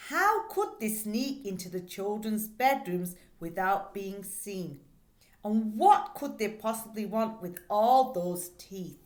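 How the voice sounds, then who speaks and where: A middle-aged woman speaks as a teacher giving a read-aloud lesson. Her pace is slow and deliberate, with expressive storytelling and questions for young listeners. The sound is echoey, picked up by a desk-distance microphone in a small room. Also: mid-range voice. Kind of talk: read-aloud lesson for pupils, reading and explaining a children's book. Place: small plain indoor room. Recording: echoey, roomy indoor recording.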